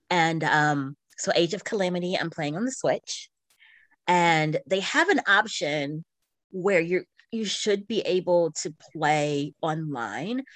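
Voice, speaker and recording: A woman's voice: sits low, dry recording. A middle-aged woman co-hosting a podcast, chatting casually about her hobbies in an informal way. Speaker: podcast co-host. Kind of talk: casual chat about video games.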